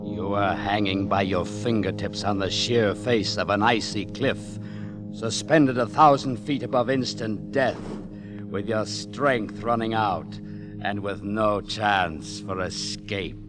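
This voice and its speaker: Low, slow, ominous man over loud music. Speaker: radio show announcer. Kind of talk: ominous 1940s radio show introduction. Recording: 1940s radio broadcast recording.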